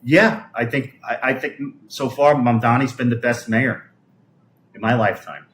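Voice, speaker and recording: A man's voice: medium pitch, moderate pace, a bit bright. A man, chatting casually on a livestream in a rambling, conversational way that often trails off. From New York City, USA. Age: 50s. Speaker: livestream host. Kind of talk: casual livestream chat, answering viewer comments.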